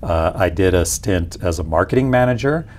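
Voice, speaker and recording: A man's voice: low-pitched, animated, moderate pace, darkish tone, slight background noise. A man in his forties, a company executive explaining things conversationally in an interview. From Peru. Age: 40s. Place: small indoor office lounge. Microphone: lapel mic.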